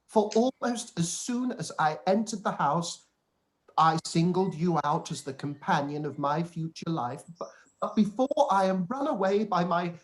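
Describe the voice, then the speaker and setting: A man's voice: a little high, rough recording. Pompous, long-winded young clergyman played by a man, self-satisfied and formal, for a period radio drama read.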